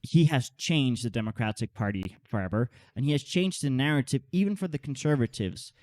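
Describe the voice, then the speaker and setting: Animated man chatting on a podcast. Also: medium pitch, fairly quick, no room sound, clean studio sound. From Denmark and Holland. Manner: animated, repeats words when thinking. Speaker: podcast host. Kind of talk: casual podcast chat.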